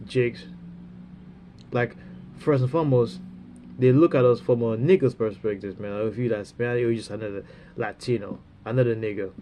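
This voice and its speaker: A man with a stuffy, runny nose giving a rambling spiritual talk, halting and full of fillers, often ending phrases with "man". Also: medium pitch, dark tone, slight background noise. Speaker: religious speaker.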